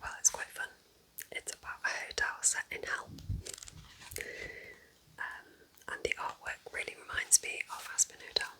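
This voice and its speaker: A woman whispering breathily and gently in an unhurried, chatty ASMR style, as a kind witch recommending books. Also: rough recording. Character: kind witch welcoming a visitor to her cabin. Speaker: ASMR roleplay host.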